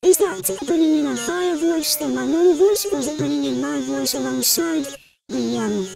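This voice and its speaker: A man speaking through a pitched-up synthesizer voice changer, sounding synthetic and feminine, in casual tutorial talk. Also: normal speed, over music, full character voice. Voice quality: synthetic, creaky.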